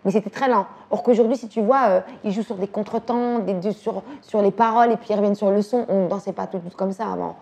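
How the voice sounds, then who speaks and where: A woman from Belgium in her thirties, talking casually and quickly about music and dance, using slang and repeating words. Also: mid-range voice, fairly even intonation, creaky, warm tone, slightly rough. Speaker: actress and director talking about her influences. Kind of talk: casual chat.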